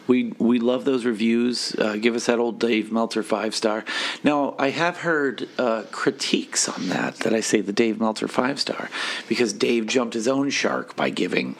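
A man co-hosting a podcast, slightly hoarse, joking and swearing in rambling casual banter. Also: medium pitch, lively intonation, normal speed. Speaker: podcast co-host.

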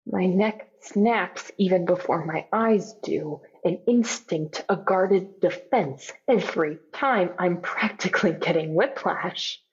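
A dull, lo-fi recording of a haunted young woman in a found-footage audio drama. She speaks slowly in a low register, introspective and on edge. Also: slightly rough, exaggerated voice.

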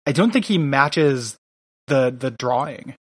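A man's voice: medium pitch, animated, slow pace, breathy edge, dry recording. A man co-hosting a podcast, chatting casually and riffing with loose, swearing enthusiasm. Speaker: podcast co-host. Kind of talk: casual chat.

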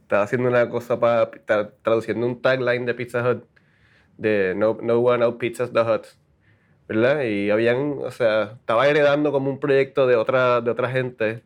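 Puerto Rican male copywriter, casual guest, halting delivery, drops English words. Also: medium pitch, fairly even intonation, highs cut off. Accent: Puerto Rican Spanish. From Puerto Rico. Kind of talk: casual interview.

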